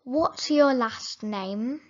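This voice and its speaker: A woman reading simple English phrases for learners, saying each sentence slowly and clearly, then repeating it. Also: on the high side, highs cut off. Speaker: English teacher.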